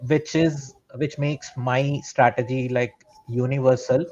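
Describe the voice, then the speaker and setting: A man's voice: medium pitch, fairly even intonation, a little slow, a little mellow, highs cut off. A man, a trader explaining his strategy step by step and often checking in with "right?". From India. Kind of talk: live trading session explanation.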